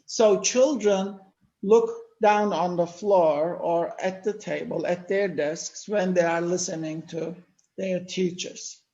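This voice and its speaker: An older man from Turkey, speaking natively, giving a measured lecture-style talk with many pauses. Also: sits high, fairly even intonation, dark tone, roomy sound, lo-fi sound. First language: Turkish. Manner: measured, explanatory, with frequent "uh" pauses. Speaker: panel speaker. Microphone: video call.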